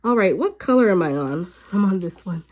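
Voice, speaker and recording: Slightly raspy woman chatting while crafting. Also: mid-range voice, fairly quick. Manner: chatty, relaxed, frequent fillers. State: a little sick, raspy. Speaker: hobby vlogger. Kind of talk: casual crafting chat.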